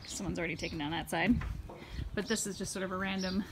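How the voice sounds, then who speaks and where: A woman's voice: on the low side, steady tone, fast talker. Upbeat young woman in an echoey empty house. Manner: chatty, upbeat, laughs often. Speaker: vlogger. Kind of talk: home renovation vlog. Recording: echoey empty rooms, noisy.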